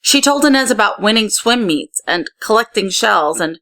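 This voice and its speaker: Lady doing a kids read-aloud, expressive storytelling, medium pitched voice, leans on the important words. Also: normal speed. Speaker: story reader.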